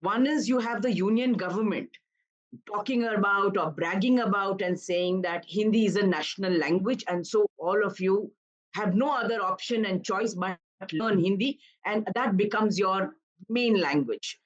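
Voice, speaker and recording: A woman in her fifties from Karnataka, an activist guest making an earnest, measured argument on a live news panel. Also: mid-range voice, dull audio. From Karnataka, India.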